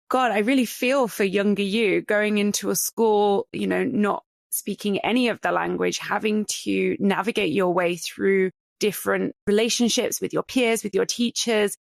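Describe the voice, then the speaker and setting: A woman hosting a podcast as a coach, reflecting back feelings and asking gentle questions. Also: medium pitch, gravelly, dry recording, clean studio sound. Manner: validating, reflective. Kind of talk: empathetic interview questioning.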